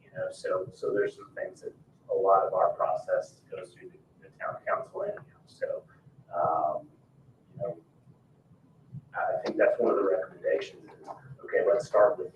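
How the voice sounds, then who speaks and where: An American man explaining things off the cuff as a commission member, in a hedging, tentative way. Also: low voice. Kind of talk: unscripted explanation at a meeting. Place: indoor public meeting room. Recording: echoey room, distant mic, faint noise.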